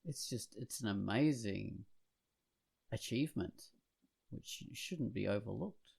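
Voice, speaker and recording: A man's voice: fairly low pitch, takes it slowly, creaky, a little mellow, slightly rough. A man talking casually as a podcast co-host, hesitant and rambling as he thinks aloud. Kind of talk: casual discussion of films and comics.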